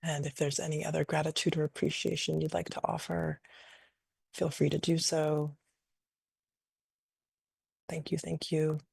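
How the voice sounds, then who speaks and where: A woman leading a guided meditation in a gentle, unhurried, invitational way, improvising softly and leaving long pauses. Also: low-pitched, little pitch movement, gravelly, husky, dry recording. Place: online video call session.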